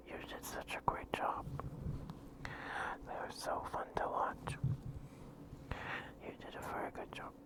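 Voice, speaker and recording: A man whispers softly and slowly, in the style of close ASMR. He heaps gentle, doting praise on the listener and repeats it again and again. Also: rough recording. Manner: soft, doting, repetitive praise. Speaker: streamer.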